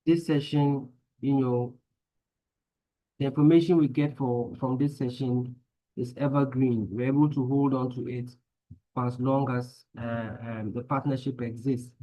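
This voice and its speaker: A man moderating a webinar, inviting follow-up questions in a measured way. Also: mid-range voice, little pitch movement, dark tone. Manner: measured, urging participants to ask questions. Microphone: video call. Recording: muffled call audio.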